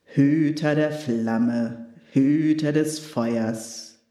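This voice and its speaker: A woman's voice: rumbling low, mellow, reverberant, exaggerated voice. A woman voicing a solemn talking candle flame. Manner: slow, solemn, allows no objection. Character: calm commanding talking candle flame, keeper of fire.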